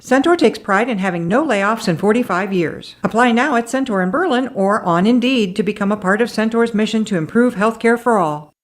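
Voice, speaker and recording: Brisk female ad voice-over. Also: medium pitch. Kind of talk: scripted recruitment ad voice-over.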